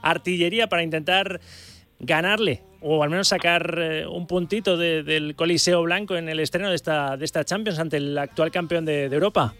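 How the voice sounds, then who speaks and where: Bright-voiced radio sports host. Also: male voice, on the high side, moderate pace. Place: radio studio.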